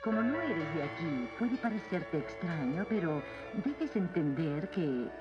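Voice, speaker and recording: A woman's voice: sits low, big pitch swings, a little slow. A woman playing a proud, cold cartoon queen, regal and formal, with a Latin American Spanish accent. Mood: haughty. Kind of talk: dubbed animated series acting. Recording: dull dub audio with music.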